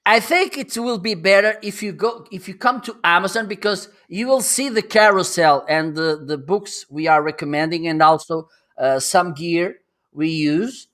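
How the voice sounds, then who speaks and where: A man's voice: high-pitched, moderate pace, fairly bright tone. A man hosting a live stream, chatting and teasing his guest, laughing often and reading out viewer comments. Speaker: host.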